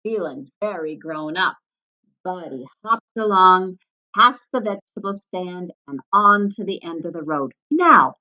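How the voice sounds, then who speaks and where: A woman narrating a children's story aloud in an expressive read-aloud style, on a slightly muffled recording. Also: lowish voice, measured pace. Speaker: storybook reader.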